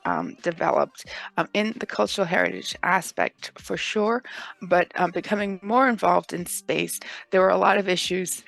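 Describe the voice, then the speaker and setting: A woman from Mexico, a legal expert, answering interview questions thoughtfully with many pauses and fillers. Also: fairly low pitch, creaky, fairly bright tone, dry recording. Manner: thoughtful, many ums and uhs.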